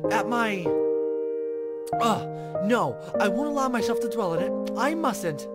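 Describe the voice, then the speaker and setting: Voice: medium pitch, expressive, a little slow, loud music behind the voice, pitched above the performer's own voice, natural voice. A woman voicing a haughty, dramatic young girl in a visual novel, scheming and bratty, with theatrical, wordy indignation. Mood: disgusted, indignant. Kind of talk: visual novel character read aloud.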